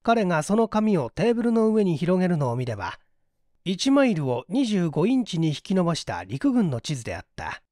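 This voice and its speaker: A man's voice: high voice, sing-song, a little fast, dark tone, no echo, clean studio sound. A man reading a mystery story aloud as scripted literary narration, in a theatrical storytelling manner. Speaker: audiobook reader.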